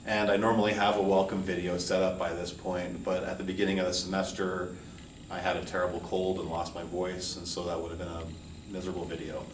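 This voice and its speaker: A middle-aged man with a low voice gives an informal class introduction in a measured, earnest way. He sounds some distance from the microphone in a roomy space with audible echo. He speaks as a college instructor addressing students. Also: monotone. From United States, New York City and Hawaii. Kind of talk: informal course welcome lecture. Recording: roomy echo, distant mic, faint noise.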